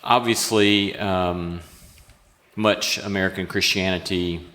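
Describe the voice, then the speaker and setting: A male host conducts a live interview in a low voice with a bright, forceful edge. His words ring with the long reverb of a hall. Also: fairly even intonation, slow pace.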